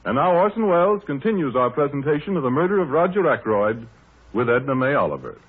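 A man's voice: mid-range voice, big pitch swings, fast talker, phone-quality sound. A male radio announcer with a formal, polished sales delivery, in 1930s broadcast style.

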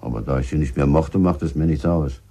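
A bitter village husband in a radio drama, with a very low, husky voice. He talks coarsely and guardedly, halting over his answers like someone under suspicion. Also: mellow. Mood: scornful. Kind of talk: German radio drama acting.

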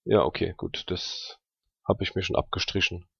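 Male tabletop role-player, gravelly, casual slang, halting delivery, dry and slightly dull recording. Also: deep voice, level tone, a bit bright. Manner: halting, thinking aloud. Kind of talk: casual slangy in-character dialogue.

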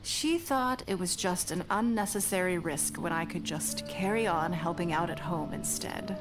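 Actress doing elegant rich businesswoman game character, measured n genteel, bit teasing, music playing underneath. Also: lowish voice, natural voice, poor audio. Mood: reflective, warm. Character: poised, refined, shrewd wealthy businesswoman, playful and fond. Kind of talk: video game dialogue acting. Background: background music.

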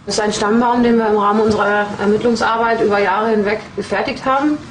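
A woman's voice: mid-range voice, fairly even intonation, quick pace, echoey room, slight background noise, rough recording. A woman police investigator explaining case evidence in an interview in a matter-of-fact, explanatory way.

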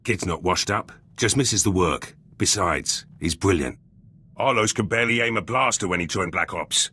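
Gruff commando lieutenant for a game: low, husky, forceful, terse and blunt. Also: male voice, moderate pace, exaggerated voice. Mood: businesslike. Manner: clipped, terse sentences. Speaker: game voice actor. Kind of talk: video game dialogue.

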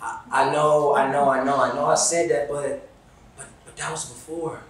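Echoey actor as a pompous, possessive lover. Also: male voice, a little high, fairly even intonation, normal speed, close to an everyday voice. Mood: pleading. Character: pompous, possessive male professor, a jealous lover. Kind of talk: theatrical stage play dialogue. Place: indoor theatre stage, recorded from afar. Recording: echoey stage, distant mic, audience noise.

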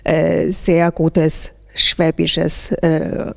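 A woman in middle age speaks with a gravelly creak and a Chinese accent, her native language being Chinese. She answers interview questions in a halting way, searching for words. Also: low-pitched, completely flat delivery. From China. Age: middle-aged. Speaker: interviewee, teacher. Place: large indoor hall. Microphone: handheld interview microphone.

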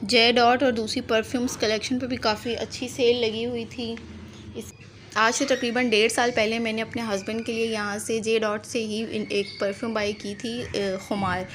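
A woman's voice: a little high, little pitch movement, normal speed. A young adult Pakistani woman narrating a vlog in voice-over. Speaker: vlogger. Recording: clear background noise under narration.

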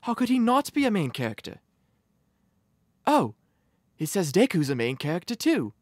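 A man voicing a blunt, deadpan doctor and a teacher in a comic voice audition. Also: high voice, normal speed, no echo, clean studio sound. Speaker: voice actor. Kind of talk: abridged parody voice audition.

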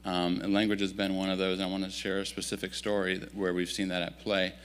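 A man in his thirties who grew up in Italy, giving a relaxed, self-deprecating missionary update in a church at a stand mic. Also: sits low, a little fast, smooth. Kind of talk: casual storytelling talk to a congregation. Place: church sanctuary, indoors. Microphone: stand microphone.

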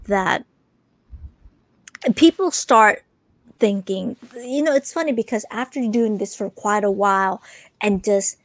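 A woman in her thirties hosting a casual livestream, chatting in a rambling, animated way and repeating herself for emphasis. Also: medium pitch, measured pace, pauses often, no echo. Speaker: podcast host. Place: small room full of collectibles, indoors. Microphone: close desk microphone.

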